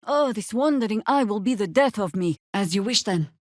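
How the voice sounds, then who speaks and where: A woman voicing a battle-weary, middle-aged druid in a fantasy video game, dry and wry, speaking in measured, formal phrases. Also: mid-range voice, expressive, a little mellow, dry recording, natural voice. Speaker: video game companion character.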